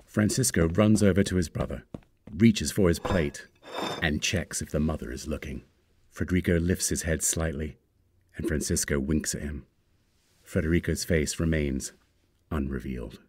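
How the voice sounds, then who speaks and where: A man's voice: a bass voice, normal speed, a little mellow, slight background noise. A man reading screen directions aloud as scripted narration for a screenplay.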